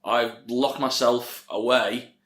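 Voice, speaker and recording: A man's voice: medium pitch, steady tone, takes it slowly, a bit bright. A British man co-hosting a podcast, chatting casually in an easygoing, self-deprecating way. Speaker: podcast co-host. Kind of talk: casual chat between friends. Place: room at home, no studio. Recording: echoey room at home.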